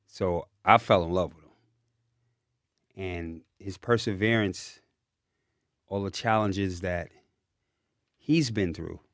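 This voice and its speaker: A man's voice: sits low, takes it slowly, long pauses, no echo, clean studio sound. A man hosting a podcast in casual chat, emphatic and enthusiastic, repeating phrases for emphasis. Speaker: podcast host.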